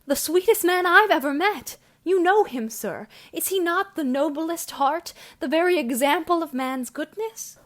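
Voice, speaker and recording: A woman's voice: very high-pitched, animated, measured pace, few pauses, fairly bright tone, full character voice. A woman playing a virtuous, resolute young noblewoman in a period audio drama, formal and indignant. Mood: firm refusal. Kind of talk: period stage-play audio drama acting.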